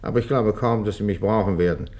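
An actor playing a dismissive, self-assured police inspector in a German radio crime drama, speaking with offhand authority. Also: male voice, fairly low pitch, fast talker, a little mellow, natural voice. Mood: instructing, offhand.

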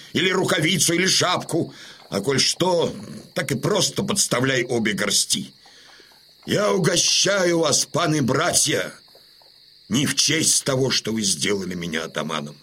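Husky, rousing older Cossack chieftain. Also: male voice, medium pitch, a bit bright, exaggerated voice. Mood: solemn. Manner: declamatory, oratorical, solemn. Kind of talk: Russian radio drama acting.

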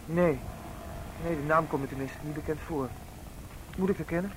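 A man's voice: moderate pace, natural voice. An actor playing a polite seventeen-year-old schoolboy in a radio drama, guarded and hesitant, choosing his words carefully. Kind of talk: Dutch radio drama acting. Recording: radio play with faint street rumble.

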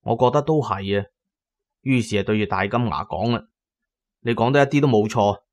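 An actor with a Cantonese accent playing a pragmatic tomb raider who tells his own adventures in a radio drama, measured and colloquial. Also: on the low side, a little mellow, natural voice. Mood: resolute, considered. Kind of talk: first-person radio drama narration and dialogue.

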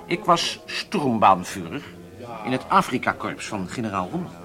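An actor playing a smooth, polite German ex-officer in a radio drama, speaking formally and courteously. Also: male voice, fairly low pitch, very animated, moderate pace, music in the background. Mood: nostalgic, boastful.

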